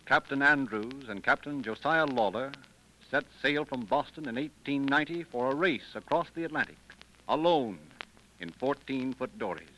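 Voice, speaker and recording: A man's voice: mid-range voice, sharp tone, slight background noise, poor audio. A man narrating in the theatrical, emphatic style of a 1930s radio drama. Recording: old 1930s radio broadcast, music behind. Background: orchestral dramatic music.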